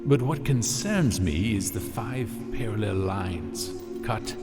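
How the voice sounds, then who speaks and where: A man playing a hesitant, thoughtful scholar of magic in an audio drama, speaking haltingly and carefully. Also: lowish voice, expressive, long reverb tail, loud music behind the voice. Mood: pensive, uneasy.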